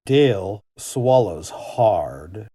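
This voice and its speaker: A man's voice: on the low side, animated, drawn-out words, warm tone, husky. A man narrating a radio play as scripted drama, in a theatrical manner.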